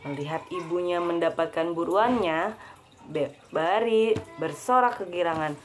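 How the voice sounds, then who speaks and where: A woman's voice: on the low side, measured pace, slight background noise. Woman reading a storybook aloud casually. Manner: adds chatty asides to the child. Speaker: parent reading to a child.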